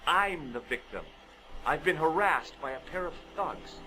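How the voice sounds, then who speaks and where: A man's voice: on the high side, animated, measured pace, noisy background. An actor playing a wry, inquisitive man, the hero of an adventure game, in dialogue and narration. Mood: matter-of-fact.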